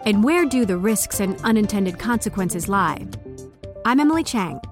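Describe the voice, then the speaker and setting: A woman's voice: mid-range voice, expressive, moderate pace. Polished woman over a music bed. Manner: polished, announcer-like delivery. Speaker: event ad presenter. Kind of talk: scripted promo read.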